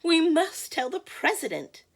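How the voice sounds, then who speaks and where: A woman's voice: extremely high pitch, big pitch swings, measured pace, pitched above the performer's own voice, full character voice. A woman voicing a dim-witted, panicky storybook hen who cries out urgently and repeats herself. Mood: frantic alarm.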